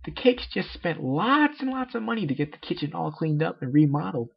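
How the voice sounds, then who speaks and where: A man voicing a bubbly, childlike female cartoon pony in a gushing, excitable falsetto. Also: brisk, gravelly, slightly rough, dull audio, full character voice. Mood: worried insistence.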